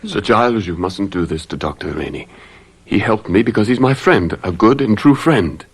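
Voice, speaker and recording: Impassioned low-voiced gentleman lover. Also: expressive, normal speed, breathy edge. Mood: indignant pleading. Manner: formal, impassioned, melodramatic. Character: earnest, defiant gentleman lover protecting his beloved. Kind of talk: old radio drama acting.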